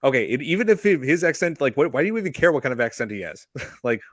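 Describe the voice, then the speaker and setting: A man's voice: medium pitch, big pitch swings, fast talker, no echo, studio quality. A man in his thirties hosting a casual podcast, rambling with lots of fillers. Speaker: podcast host.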